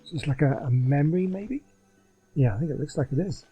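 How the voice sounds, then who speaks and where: Male let's-play commentator: husky, soft-edged dark tone, casual and understated. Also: fairly high pitch, brisk, poor audio. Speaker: gamer commentating on a playthrough. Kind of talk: casual game commentary, reading on-screen text aloud. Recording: music behind the voice.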